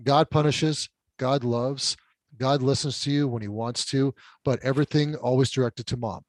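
A man's voice: medium pitch, steady tone, moderate pace, no room sound. Middle-aged man, dry close recording. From California border town, Mexican side. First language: Spanish. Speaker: guest. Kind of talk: conversational storytelling interview.

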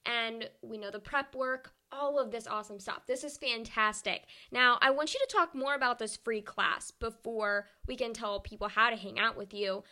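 Bright, upbeat woman hosting a podcast. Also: on the high side, moderate pace, hardly any pauses. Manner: upbeat, enthusiastic, lots of praise. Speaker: podcast host. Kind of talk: casual interview.

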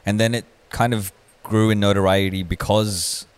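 A man's voice: fairly low pitch, little pitch movement, moderate pace, smooth. A man co-hosting a casual podcast, reasoning things out aloud and stumbling over his words as he explains. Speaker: podcast co-host. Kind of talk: casual chat.

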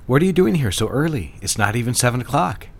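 A man playing a smooth, patronizing ad executive boss in a period office drama, assured and condescending. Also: medium pitch, very animated, fast. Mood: courteous, probing.

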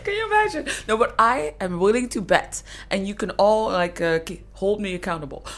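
A woman from the Netherlands guesting on a podcast, chatting frankly with lots of giggles. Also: mid-range voice. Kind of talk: casual chat. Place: studio.

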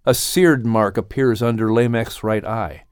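A man narrating an audiobook with dramatic, expressive storytelling. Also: lowish voice, a little slow, smooth, no room sound, studio quality.

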